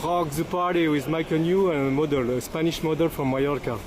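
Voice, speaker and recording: A man's voice: on the high side, steady tone, a little fast, background noise. A man hosting a casual vlog outdoors at a beach, upbeat and repeating a catchphrase. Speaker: vlog host. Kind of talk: casual promo chatter.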